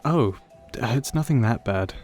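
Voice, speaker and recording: Guy acting as a shy rambly young man, old friend at a party, soft mellow voice, kinda self-deprecating, audio rp with faint music behind. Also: mid-range voice, animated, measured pace, close to an everyday voice. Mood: weary, downcast. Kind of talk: audio roleplay, conversational acting addressed to listener.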